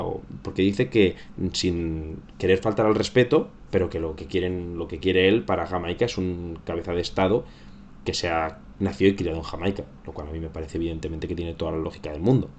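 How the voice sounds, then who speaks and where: Deep-voiced man from a casual news livestream. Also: a little mellow, muffled recording, slight background noise. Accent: Spain. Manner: conversational, repeats and rephrases phrases. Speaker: host of a current affairs livestream. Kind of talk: casual live news commentary.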